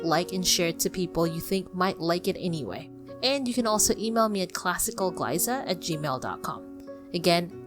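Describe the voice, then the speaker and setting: A woman hosting a podcast, talking informally about the history of a classic book's illustrations and speaking directly to her listeners. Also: on the low side. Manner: chatty, friendly, addresses listeners directly. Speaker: podcast host.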